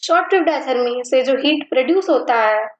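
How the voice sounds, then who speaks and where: A woman teaching physiotherapy, slowly listing points and mixing Hindi with terms. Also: high voice, lively intonation, roomy sound, highs cut off. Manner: mixes Hindi and English terms, list-like delivery. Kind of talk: slow, deliberate lecture reading.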